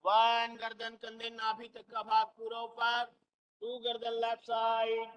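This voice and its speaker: Yoga instructor, very animated pitch swings, slow pace, clipped commands, lo-fi online class audio. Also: clear, resonant tone. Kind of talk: counted exercise instruction, short commands. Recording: muffled lo-fi online call audio.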